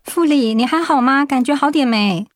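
A woman's voice: sits high, normal speed, close to an everyday voice. A woman playing a caring, encouraging young coworker in a radio drama. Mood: concerned, encouraging.

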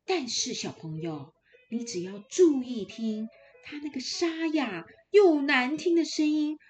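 A woman's voice: high-pitched, lively intonation, measured pace, faint music behind, pitched above the performer's own voice. A woman doing a caring mother goat. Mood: caring warning. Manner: gentle, warning, emphatic. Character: loving, worried mother goat.

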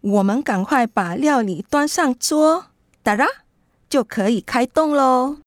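A woman's voice: sits high, animated, a little slow, dry recording, studio quality. A woman playing a kindly, patient mother who explains things in a children's radio drama. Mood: kindly, explaining.

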